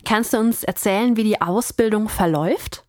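A woman's voice: mid-range voice, moderate pace, no echo. Woman podcast host, dry close sound. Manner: curious, guiding questions. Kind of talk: podcast conversation.

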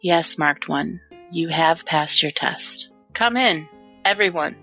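Casting: actress for a cryptic, regal oracle, lowish female voice, formal and measured, audio drama, slightly muffled sound. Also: sharp tone, faint music behind, full character voice. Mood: calm authority. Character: enigmatic oracle queen, calm, measured and commanding.

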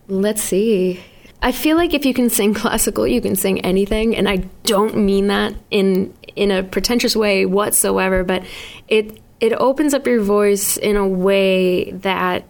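A woman's voice: medium pitch, a little mellow. A young woman singer with a New England accent, chatting in a radio studio interview, rambling with frequent laughs and restarts. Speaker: singer-songwriter guest.

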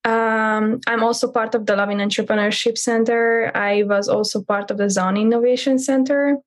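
A young woman from Hungary, speaking English hesitantly with many pauses as a student guest at a roundtable. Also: fairly high pitch, fairly even intonation. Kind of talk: roundtable introduction.

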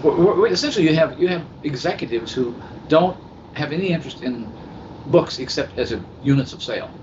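Male interviewer with very varied pitch, feeling his way through questions, on a dull, lo-fi live recording. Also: lively intonation, a little fast. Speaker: podcast host, interviewer. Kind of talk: conversational interviewing. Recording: muffled, noisy live hotel internet-call recording.

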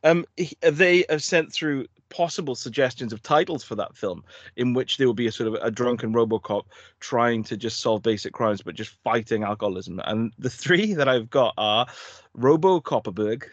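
A man's voice: mid-range voice, normal speed, dry recording, highs cut off. A man co-hosting a podcast, chatting casually in a jokey, rambling way with plenty of laughter. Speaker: podcast co-host. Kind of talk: casual chat.

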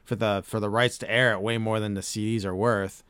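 Smooth-voiced man reading facts, swearing. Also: fairly low pitch, fairly even intonation, brisk, a bit bright, dry recording. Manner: reads facts aloud, swears casually. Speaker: podcast host. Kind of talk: casual podcast chat.

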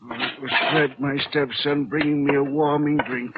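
A man's voice: on the high side, flat delivery, normal speed, narrow, tinny audio, natural voice. An actor playing a brooding, guilt-haunted old railway man in 1940s radio horror, on a noisy old recording.

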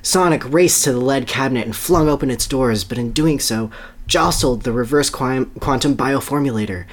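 A podcast host giving an animated dramatic reading of a story, with amused asides. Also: mid-range voice, normal speed.

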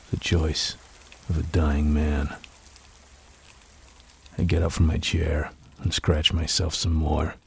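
A man playing a world-weary, drunken, nihilistic film noir detective in a brooding, deadpan radio monologue. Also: a bass voice, creaky, exaggerated voice. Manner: slow, brooding, deadpan narration. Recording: noisy, rain sound behind. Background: rain.

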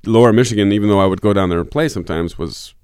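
Man, very low voice, smooth, rambling, many restarts, dry close-miked recording. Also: racing, darkish tone, no room sound. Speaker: musician guest. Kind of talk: relaxed interview answers.

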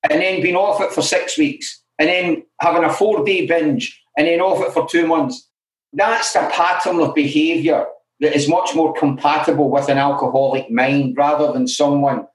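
A middle-aged Glaswegian man speaks candidly and with self-deprecating humour. His voice sits a little higher than most men's. He is recorded in an echoey room that gives the voice a roomy ring. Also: steady tone, moderate pace. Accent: Glaswegian Scottish. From Scotland. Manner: frank and self-deprecating, full of "you know" asides. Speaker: interview guest in alcohol recovery. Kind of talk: candid conversational storytelling.

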